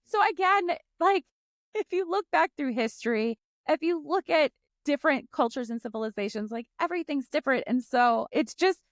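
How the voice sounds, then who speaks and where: A high-voiced woman from Egypt talks in a casual panel chat, telling stories with emphatic asides. Her voice is recorded close and dry, with no room sound. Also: animated, normal speed, no room sound. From Egypt and the Middle East. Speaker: podcast co-host, former special ed teacher.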